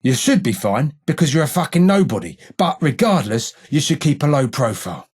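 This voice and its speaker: A man voicing a gruff, foul-mouthed, sardonic old London gangster ghoul in a video game, with a husky voice and salty Cockney slang. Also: on the high side, very animated, a little fast, a little mellow. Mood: sardonic, dismissive. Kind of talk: video game dialogue acting.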